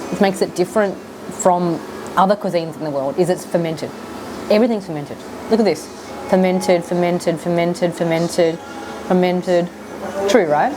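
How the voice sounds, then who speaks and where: A woman's voice: lowish voice, background noise. An Australian woman in her thirties chatting casually to camera in a vlog, rambling with lots of fillers. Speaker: vlogger.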